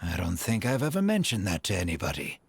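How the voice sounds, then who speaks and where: An actor in a video game playing an earnest, proud man of the desert, speaking in formal, measured phrases. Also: low voice, huge pitch swings, creaky, slight background noise, exaggerated voice. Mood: guarded, explanatory. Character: earnest, proud desert-dwelling man, elderly or ailing, apologetic.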